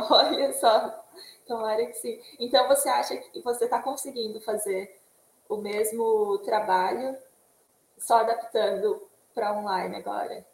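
A woman's voice: on the high side, steady tone, a little slow, vocal fry. Easygoing Brazilian woman on an echoey call. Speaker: interview guest. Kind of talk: casual interview chat.

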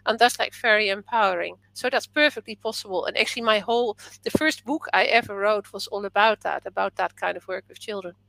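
A woman's voice: mid-range voice, brisk, creaky, hardly any pauses, pushed and bright, no room sound, rough recording. A middle-aged woman whose first language is Dutch, a podcast guest speaking in a reflective, thoughtful way in long flowing sentences. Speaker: author and teacher, podcast guest. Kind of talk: conversational interview.

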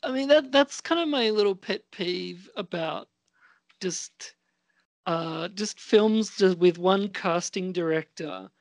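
A woman's voice: medium pitch, slow, a little mellow, no room sound. A woman in her forties chatting casually as a podcast co-host, rambling a little hesitantly. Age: 40s. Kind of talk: casual chat.

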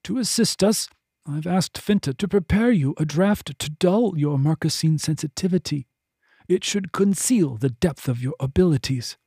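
A man's voice: on the high side, animated, moderate pace, dark tone, breathy edge, no room sound, clean studio sound. A man voicing an authoritative noble figure who speaks formally and with measure.

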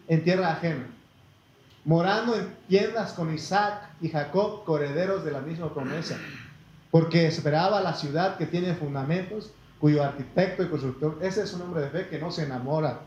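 A man's voice: a little high, darkish tone, slight background noise. A man preaching a sermon with a Mexican Spanish accent, reading Bible verses and speaking folksily to his listeners as brother. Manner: folksy, addresses listeners as brother, asks for amens. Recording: echoey room, slightly muffled.

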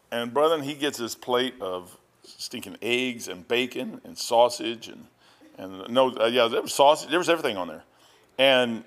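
A man, preaching a rambling, conversational sermon and often repeating himself. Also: on the high side. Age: 60s. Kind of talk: folksy sermon.

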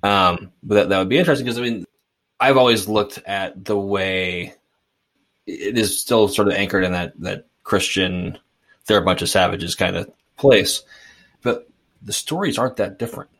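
A deep-voiced man from suburban Boston muses aloud on a casual podcast. He circles back and restarts his sentences as he works out his thoughts. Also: normal speed, fairly bright tone. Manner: thoughtful, hesitant, restarts often. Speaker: podcast co-host. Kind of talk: casual discussion.

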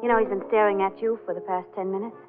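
A woman's voice: mid-range voice, fairly even intonation, quick pace, close to an everyday voice. An actress playing a poised, curious society woman in old-time radio drama style, genteel and sympathetic. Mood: amused, curious. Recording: narrow vintage radio, music behind.

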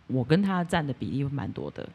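A woman's voice: extremely low pitch, big pitch swings, brisk, gravelly, husky, muffled recording. A woman with a Taiwanese accent talking casually and candidly as a podcast guest. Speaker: podcast guest, audio creator.

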